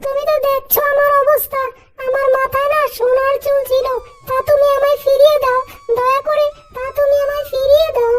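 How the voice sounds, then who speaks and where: Kind young cartoon heroine, extremely high squeaky pitch, polite, earnest, music underneath. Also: female voice, level tone, fairly quick. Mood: earnest pleading. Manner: earnest, deferential, sweet pleading.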